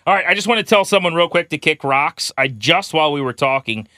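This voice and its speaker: A man's voice: a little high, expressive, fast talker, bright, forceful tone, no room sound, studio quality. A man co-hosting a sports podcast, chatting casually about baseball in an opinionated, conversational way.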